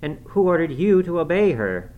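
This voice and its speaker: A man's voice: mid-range voice, lively intonation, moderate pace, narrow, tinny audio, close to an everyday voice. A man voicing a cruel, hot-tempered middle-aged master in an audiobook, commanding and threatening. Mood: suspicious, irritated. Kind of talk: audiobook character acting. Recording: clearly noisy recording.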